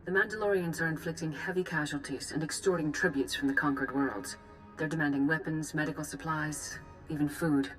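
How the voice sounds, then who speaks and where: A woman playing a grave, composed Jedi master in a video game, giving formal briefings with measured authority. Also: deep voice, soft background music, lightly acted, rough recording. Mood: grave, urgent. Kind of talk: formal dramatic mission briefing.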